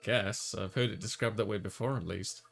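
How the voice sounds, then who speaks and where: A man's voice: on the low side, steady tone, brisk, vocal fry, a bit bright, soft background music. A man hosting a let's play, chatting casually and reading a visual novel's lines aloud. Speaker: host, gamer reading player character lines.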